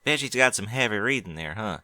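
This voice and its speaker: A man's voice: lowish voice, lively intonation, brisk, bright, forceful tone, dry recording, clean studio sound. A man voicing a young male dragon in an audiobook, chatty and colloquial, a little unsure of himself. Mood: casual, teasing. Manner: colloquial, hesitant, questioning. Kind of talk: audiobook character dialogue.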